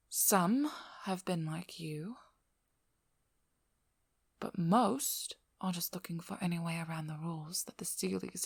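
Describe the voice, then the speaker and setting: Woman playing a shrewd, low-voiced vampire hunter, creaky and hushed. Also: no echo, full character voice. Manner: probing, blunt, dry. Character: devious, sharp-tongued female vampire hunter, shrewd and blunt. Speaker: ASMR role-play performer. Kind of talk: ASMR character role-play, speaking softly to the listener.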